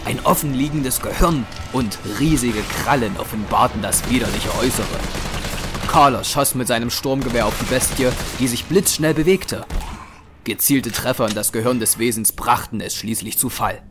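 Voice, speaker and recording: A man's voice: mid-range voice, moderate pace, over music. A man narrating an action horror audio drama in a dramatic, scripted style.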